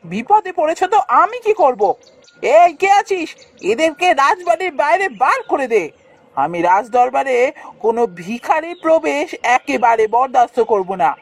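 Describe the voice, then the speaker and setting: A woman's voice: high voice, big pitch swings, normal speed. A woman voicing a haughty, cowardly young prince in a fairytale cartoon. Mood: haughty, dismissive. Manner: imperious, turning to whining excuses.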